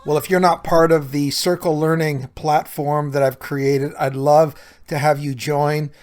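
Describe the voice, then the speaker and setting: A man's voice: on the high side, level tone, measured pace, darkish tone, faint music behind. A man hosting a podcast solo, talking conversationally and earnestly, explaining things directly to the listener as a coach would. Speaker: podcast host and recovery coach.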